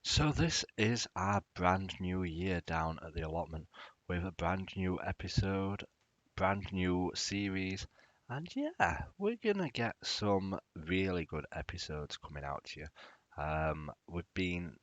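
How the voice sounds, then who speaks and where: A man's voice: sits low, lively intonation, slow, a bit bright, no room sound, highs cut off. A man hosting a podcast, talking in a soothing, casual and rambling way. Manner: chatty, rambling, with fillers. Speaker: podcast host.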